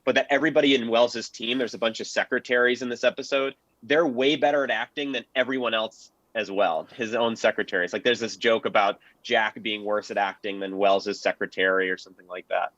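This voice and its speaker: A man talking informally as a panelist on history, explaining in a measured, analytical way. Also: on the low side, steady tone, a bit bright, no echo, lo-fi sound. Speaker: panelist, radio history commentator.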